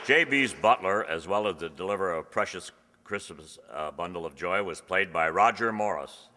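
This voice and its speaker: A man with a very low voice speaks as a live announcer, thanking performers and crew. He is in a reverberant hall, with clear noise and audience sound around him. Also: normal speed, bright, forceful tone. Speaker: announcer and cast member. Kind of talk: live curtain-call thanks to cast and crew. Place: indoor hall before an audience.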